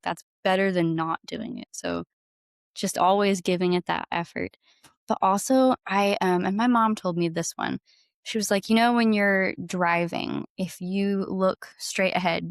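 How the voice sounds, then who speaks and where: Low-pitched woman, casual and enthusiastic. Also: no room sound, studio quality. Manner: enthusiastic, informal, frequent "like". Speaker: podcast guest, singer-songwriter. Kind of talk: casual conversational interview.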